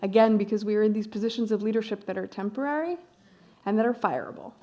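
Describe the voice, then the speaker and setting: A woman's voice: medium pitch, fast, mellow. A woman on a panel, passionate and blunt, dropping the odd swear word. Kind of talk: panel discussion.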